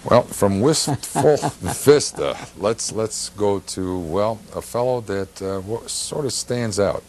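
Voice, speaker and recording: An American man hosts a relaxed chat in halting phrases. His voice is very low and gravelly, with animated swings in pitch. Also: a little hiss or hum. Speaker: show host. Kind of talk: casual interview hosting.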